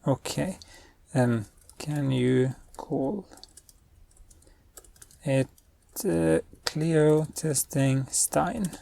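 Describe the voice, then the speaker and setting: Slow, gravelly man. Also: on the high side, dark tone. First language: Norwegian. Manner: thinks aloud while giving spoken commands. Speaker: web developer and channel host. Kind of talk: screen-recorded coding tutorial.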